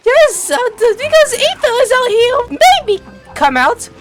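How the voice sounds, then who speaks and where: Animated, excitable female gamer. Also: extremely high pitch, music in the background. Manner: halting, excitable, repeats words. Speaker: gameplay video host. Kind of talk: game commentary, reading narration aloud.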